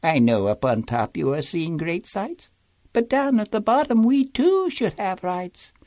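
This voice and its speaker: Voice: mid-range voice, sing-song, measured pace, darkish tone, no echo, studio quality. A storyteller voicing a plain, meek little male turtle who pleads timidly and apologetically in a storybook read-aloud. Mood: pleading.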